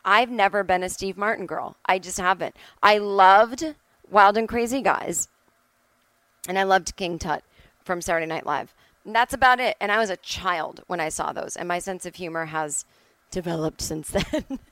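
A middle-aged woman rants casually into a close, dry recording. Her voice sits at an ordinary pitch for a woman, and she speaks bluntly and emphatically, swearing freely as she gives her opinions. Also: moderate pace, a bit bright, no echo. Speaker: solo podcast host. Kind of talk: casual opinionated monologue, ranting. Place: at home.

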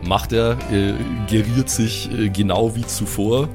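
A low-pitched man leads a tabletop role-playing session. He narrates scenes in a measured, descriptive way, improvising as he goes, over clearly audible music. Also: steady tone. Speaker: game master.

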